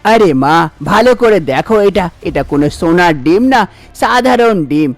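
A woman's voice: mid-range voice, lively intonation, normal speed, no room sound, pitched below the performer's own voice, lightly acted. A woman voicing a blunt, scornful grown son of a village household in a cartoon fairy tale. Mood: disgusted.

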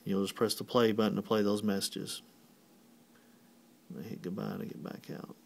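A man's voice: fairly low pitch, little pitch movement, normal speed, creaky. A man giving a step-by-step tutorial as a technician, explaining plainly and methodically. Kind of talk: instructional step-by-step walkthrough.

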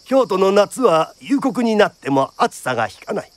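A man's voice: high-pitched, very animated, moderate pace, no echo. A man narrating a period radio drama like a theatrical storyteller.

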